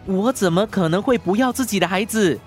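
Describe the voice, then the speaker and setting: A man's voice: sits high, a little fast, faint music behind, close to an everyday voice. A man with a Singaporean accent playing an anxious, impulsive, remorseful young husband in a radio drama. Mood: shocked, excited.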